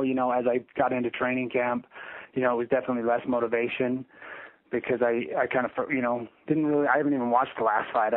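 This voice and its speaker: A male fighter answering press questions over a phone, halting and reflective as he goes. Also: medium pitch, no echo. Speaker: fighter on a media conference call. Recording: dry, narrow phone line.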